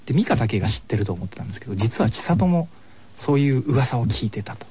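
A man's voice: mid-range voice, lively intonation, fast, warm tone, husky. A man explaining a game in casual, polite chat as a radio show guest, agreeable and a little hesitant in his phrasing. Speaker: guest guiding the host through a game. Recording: dull lo-fi radio recording.

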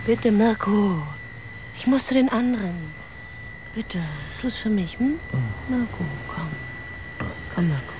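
Slow, pleading young woman. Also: medium pitch, lively intonation, background noise. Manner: coaxing, imploring. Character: pleading young woman, gentle but insistent. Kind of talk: German radio drama acting.

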